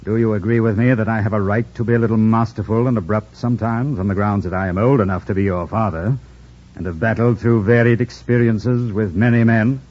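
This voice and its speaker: Male actor as brooding gentleman near forty, vintage radio drama: low, brusque, imperious, formal, on a muffled old broadcast. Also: fairly even intonation, brisk, close to an everyday voice. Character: stern, masterful, abrupt master of the house, near forty.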